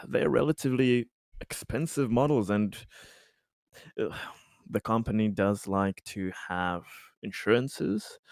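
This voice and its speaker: A man roleplaying a formal, wary, troubled security investigator in a tabletop game, with a measured, halting delivery. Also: on the low side, darkish tone, smooth, no echo, studio quality, close to an everyday voice.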